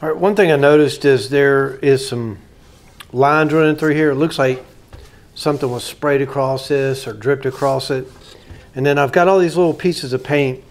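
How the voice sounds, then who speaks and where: A man's voice: mid-range voice, normal speed. A man giving casual, plain-spoken commentary as a hobbyist restorer, thinking aloud while he works on a hands-on repair.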